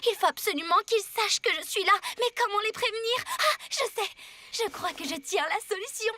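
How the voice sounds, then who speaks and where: A woman voicing a spirited young captive girl in a cartoon, urgent and excitable. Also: very high voice, fairly quick, dry recording, heavily acted. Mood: excited, scheming. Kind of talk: French cartoon voice acting. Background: faint music.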